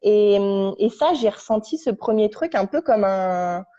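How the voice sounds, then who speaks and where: A young woman, a podcast guest, casually telling her story at home on a couch, halting and restarting as she searches for her words. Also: medium pitch, lively intonation, mellow. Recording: dull lo-fi recording.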